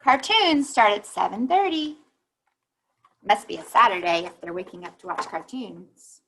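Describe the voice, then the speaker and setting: A woman reading a children's picture book aloud like a teacher, pausing to think aloud and explain. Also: medium pitch, expressive, a bit bright, slightly rough, rough recording.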